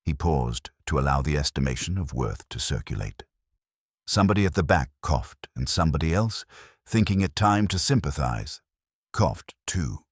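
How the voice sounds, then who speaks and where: A man narrating a period comic mystery from a script in a wry, measured, literary manner. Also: extremely low pitch, big pitch swings, breathy edge, no echo, studio quality. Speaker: narrator of an audio mystery story.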